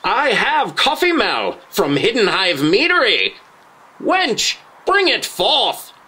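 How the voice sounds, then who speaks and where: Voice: medium pitch, wildly swooping pitch, slow, pushed and bright, slightly rough, full character voice. An actor playing a pompous knight of King Arthur's court with grand, declamatory, archaic speech in a radio comedy spot. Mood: grand, boastful. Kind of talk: mock-medieval radio ad acting.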